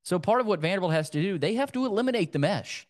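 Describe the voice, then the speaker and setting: Male sports podcaster, higher-pitched, conversational football breakdown, repeats himself for emphasis. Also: expressive, fast.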